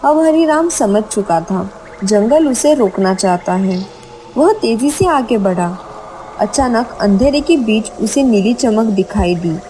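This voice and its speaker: A woman's voice: on the high side, lively intonation, slow, warm tone, faint music behind, rough recording. A woman narrating a scripted horror story with dramatic storytelling delivery.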